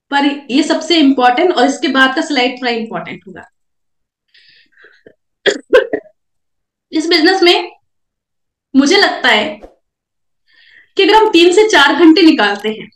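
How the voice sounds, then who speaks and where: A woman's voice: sits high, echoey room. A young woman with a sore throat gives a coaching seminar talk, direct and repetitive, and coughs now and then. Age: young adult. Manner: coaching, direct, repeats points. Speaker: network marketing speaker. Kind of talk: motivational seminar talk with slides.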